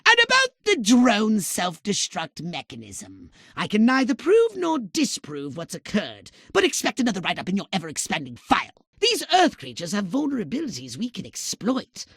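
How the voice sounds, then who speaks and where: A pompous little alien commander from a video game, with a high voice that swoops wildly up and down. He is pedantic and easily exasperated, delivering scientific jargon with sardonic theatrical relish. The sound is dry and close. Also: sharp tone, no echo, exaggerated voice. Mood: sardonic, accusing. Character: fussy, haughty alien scientist commander, male, scheming and exasperated.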